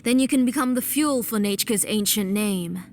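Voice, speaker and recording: A woman's voice: medium pitch, moderate pace, a little mellow, lightly acted. A woman voicing a grieving mother obsessed with bringing back her lost child, tender and fervent, in a dramatic video game. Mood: resolved, menacing. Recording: music behind the voice.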